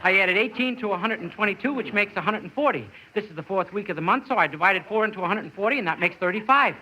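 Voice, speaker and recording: A man's voice: high-pitched, lively intonation, quick pace, heavily acted. An actor playing a naive, dim young man in his twenties, earnest and matter-of-fact, in 1950s radio comedy. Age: 20s. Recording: noisy old bright radio recording.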